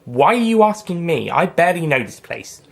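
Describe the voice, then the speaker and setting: A man voicing an eccentric, genial time-traveling alien in an audio story, chirpy and lively. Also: a little high, fairly quick, creaky, pitched above the performer's own voice, heavily acted.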